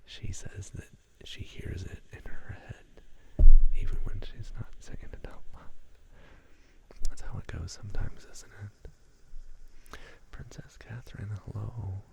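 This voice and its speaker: A man hosting an ASMR livestream, whispering in a breathy, gravelly voice and chatting to viewers in a laid-back, slangy, rambling way. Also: lowish voice, fairly even intonation, slow pace, rough recording.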